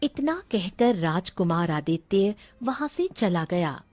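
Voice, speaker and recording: A woman's voice: fairly low pitch, very animated, slow pace, a little mellow, no echo. A woman narrating a scripted fairy tale for a cartoon as a storyteller.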